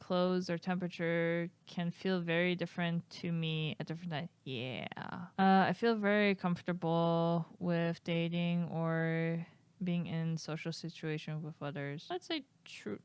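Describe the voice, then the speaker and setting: Smooth-voiced young woman, chatty and giggly. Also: on the low side, no echo. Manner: reads items aloud then answers with hesitant, giggly asides; self-describes as flat and monotone. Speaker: streamer. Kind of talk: casual stream chat reading questionnaire items.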